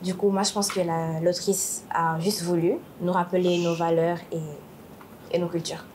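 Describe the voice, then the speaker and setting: A young woman gives her view in a class book discussion. She is thoughtful and pauses to search for her words. A faint hiss runs behind her. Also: on the low side, little pitch movement, a little mellow.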